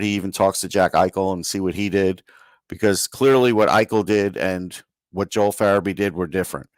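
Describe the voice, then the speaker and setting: A man with a fairly low voice co-hosts a sports podcast. He gives his analysis conversationally, and the sound is dry, without room echo.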